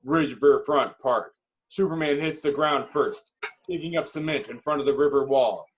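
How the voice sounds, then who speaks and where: A man's voice: fairly high pitch, normal speed, a little hiss or hum. A man reading screenplay stage directions as the dramatic narrator of a table read.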